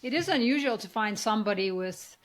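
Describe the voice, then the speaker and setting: A woman hosts an interview podcast, introducing her guest and asking short, encouraging questions. She speaks at a mid pitch. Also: expressive, brisk. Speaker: podcast host. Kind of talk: interview hosting.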